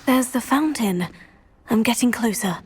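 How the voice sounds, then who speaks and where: A woman's voice: fairly high pitch, expressive, measured pace, breathy edge, heavily acted. A young woman with a British accent playing a curious, plucky teenage witch in a video game, composed and musing.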